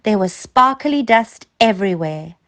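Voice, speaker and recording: Slow, expressive woman telling a children's story. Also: medium pitch. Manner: expressive, dramatic emphasis.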